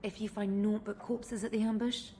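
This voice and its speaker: An actress voicing a tough, cynical criminal enforcer in a video game, sardonic, blunt and coolly menacing. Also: female voice, mid-range voice, little pitch movement, moderate pace, mellow, natural voice. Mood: blunt, impatient. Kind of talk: video game cutscene acting.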